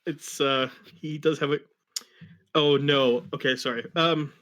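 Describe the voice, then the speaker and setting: A man's voice: fairly high pitch, steady tone, unhurried, fairly bright tone. A man reading a story aloud in an amused, mock-dramatic style, with casual asides. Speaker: reader of a fan story.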